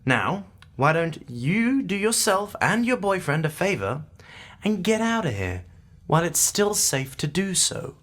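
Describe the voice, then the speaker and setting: An actor playing a brusque, secretive agent in an audio drama, speaking in a clipped, formal, scornful manner. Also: male voice, sits high, big pitch swings, a little slow, fairly bright tone, heavily acted. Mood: scornful, warning. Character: brusque, secretive male agent, impatient and dismissive.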